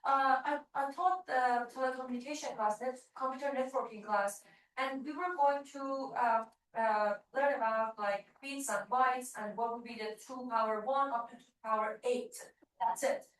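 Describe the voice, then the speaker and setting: High-voiced Iranian-accented woman, echoey hall. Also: fairly even intonation, normal speed, a bit bright, far from the mic. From Iran. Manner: hesitant, many fillers. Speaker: programming professor, panelist. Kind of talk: panel discussion answer. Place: large event hall.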